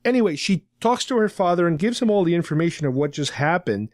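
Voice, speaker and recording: A man's voice: on the high side, animated, fast talker, dry recording, clean studio sound. A man hosting a podcast, casually recapping a story in an explanatory, rambling way. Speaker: podcast host. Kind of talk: casual talk recapping a radio drama.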